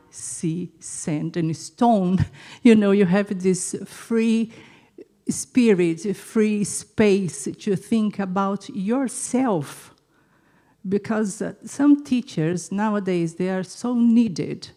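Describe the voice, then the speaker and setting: Woman, Brazilian accent, native Portuguese speaker, slow pace, lowish pitch, halting and searching, unscripted comment. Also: mellow. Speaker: audience member, art teacher. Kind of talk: unscripted audience comment at a discussion event.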